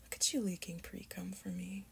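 A woman playing a seductive, doting mature succubus mother figure in breathy, whispered ASMR roleplay, slow, coaxing and soothing. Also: on the low side, level tone, a little hiss or hum. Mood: tender, praising. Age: adult.

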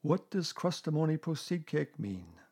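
A man voicing a humble, slow-witted, gentle bear in a children's storybook, speaking in a simple, dreamy, earnest way. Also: a little high, sing-song, husky, pitched above the performer's own voice, exaggerated voice. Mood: humble, sad.

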